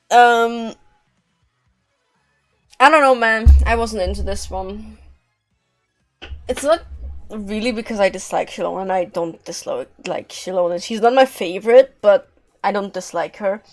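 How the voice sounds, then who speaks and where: A woman giving casual live-stream game commentary in a hesitant, rambling, deadpan way. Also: mid-range voice, a little slow, pauses often, soft background music.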